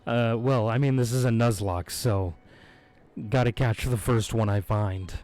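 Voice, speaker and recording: A man streaming a video game, hoarse from losing his voice, chatting in a laid-back, rambling way with frequent chuckles, close on his microphone. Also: medium pitch, a little slow, darkish tone. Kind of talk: casual live-stream chat. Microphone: close desk microphone.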